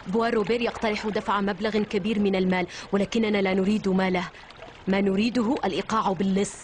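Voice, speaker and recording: A woman's voice: medium pitch, fairly even intonation, fast talker, a little hiss or hum, natural voice. A woman voicing an earnest, wary young man in a cartoon dub, speaking Modern Standard Arabic with urgent seriousness. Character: earnest, wary young male newspaper owner. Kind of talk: animated cartoon dub acting. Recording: background music under dialogue.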